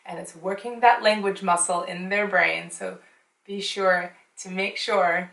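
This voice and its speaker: A woman's voice: lowish voice, steady tone, a little slow, gravelly, a bit bright. A woman from the United States whose first language was Chinese, giving earnest parenting advice in a casual vlog, with frequent ums. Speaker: parent and show host. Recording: roomy echo, mic at some distance.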